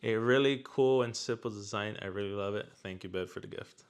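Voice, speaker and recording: A man's voice: lowish voice, brisk, clear, resonant tone. Casual man reviewing on camera. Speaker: product review host. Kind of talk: casual unboxing and review chat.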